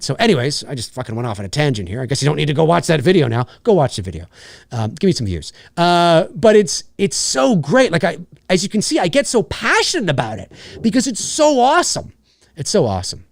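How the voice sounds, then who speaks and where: A man in his forties from Canada, hosting a live Q&A stream and chatting casually in an excitable, rambling way. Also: very high-pitched, dry recording, clean studio sound. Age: 40s. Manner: excitable, rambling, reads donor messages aloud.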